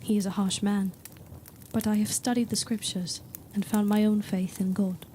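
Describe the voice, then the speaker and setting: An actress playing a modest but candid young governess in a period audio drama, speaking in a polite, measured and reserved way. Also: female voice, medium pitch, little pitch movement, mellow, a little hiss or hum, close to an everyday voice. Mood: polite, frank. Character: modest, candid eighteen-year-old governess with quiet resolve.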